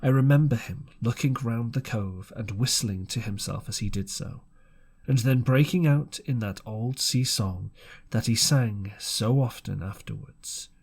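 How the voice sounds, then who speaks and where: A man reads a classic story aloud as an audiobook narrator. His delivery is slow and measured, set at a medium male pitch, with deliberate pauses between phrases. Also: a little mellow, husky.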